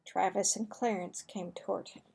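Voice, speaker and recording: A woman reading a children's book aloud as a storyteller, deliberate and expressive. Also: mid-range voice, gravelly, breathy edge. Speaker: storybook reader.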